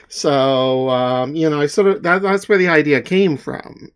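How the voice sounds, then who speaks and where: A middle-aged man from Newfoundland, Canada, talking casually to viewers in a halting, self-deprecating, rambling way. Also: on the high side, clear, resonant tone. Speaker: comic artist and author.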